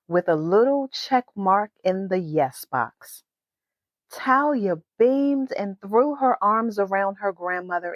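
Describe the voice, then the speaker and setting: Woman, children's storybook narration, unhurried, very lively intonation, dry close sound. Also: mid-range voice, dry recording, highs cut off. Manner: animated, storyteller delivery. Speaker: children's author and podcast host.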